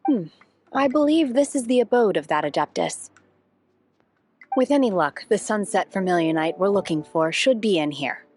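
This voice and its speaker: A woman playing a calm, reserved young woman in a video game, with formal, measured delivery. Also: mid-range voice, soft background music, lightly acted. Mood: serious, watchful.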